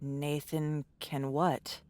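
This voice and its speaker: A guarded, athletic survivor woman, in an audio drama. She speaks in a lowish, dry voice, blunt and wary, with world-weary bitterness. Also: level tone, drawn-out words, darkish tone, no room sound. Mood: wary, probing.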